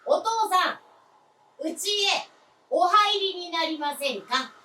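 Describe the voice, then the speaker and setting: A woman's voice: very high-pitched, lively intonation, takes it slowly, bright, forceful tone, heavily acted. A woman playing a polite, attentive daughter of the household in theatrical radio drama style, with a solicitous manner. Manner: courteous, solicitous. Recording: echoey stage, distant mic.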